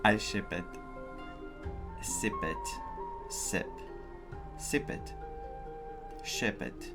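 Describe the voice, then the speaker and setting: Slow-paced man giving casual game reactions. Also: lowish voice, lively intonation, gravelly, loud music behind the voice. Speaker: gaming streamer reacting to a story playthrough. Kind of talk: casual reaction commentary, reading choices aloud.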